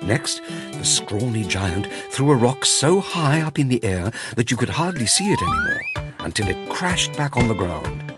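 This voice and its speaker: A man narrating a children's fairy tale as a storyteller, in an expressive, theatrical way. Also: medium pitch, normal speed. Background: music.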